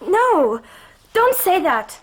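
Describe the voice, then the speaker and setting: A woman's voice: extremely high pitch, very animated, drawn-out words, exaggerated voice, low-quality recording. An actress playing a frightened, torn young woman in love in a radio drama, pleading anxiously.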